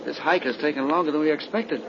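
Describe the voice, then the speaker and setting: Old-time radio horror: male actor as jittery, impressionable young man, high-pitched and theatrical, dull noisy recording. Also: fast, lightly acted. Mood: uneasy, musing. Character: nervous, impressionable young man in a haunted house. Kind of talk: 1930s radio horror acting.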